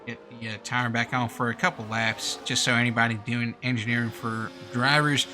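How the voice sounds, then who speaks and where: A man's voice: medium pitch, level tone, moderate pace, music in the background. A man doing live sim-racing commentary in a put-on American accent, informal and slangy, with casual asides. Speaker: race commentator and streamer.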